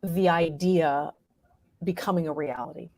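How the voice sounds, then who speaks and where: An American woman speaking reflectively as an interview guest, thoughtful and halting as she searches for her words. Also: low voice, steady tone, darkish tone, no room sound, clean studio sound. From New York state, United States. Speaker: interview guest, playwright and performer.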